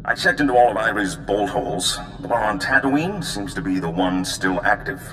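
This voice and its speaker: Low, smooth schemer, echoey room, loud score. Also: male voice, animated, fairly quick, a little hiss or hum. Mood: conspiratorial. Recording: roomy game audio with loud score.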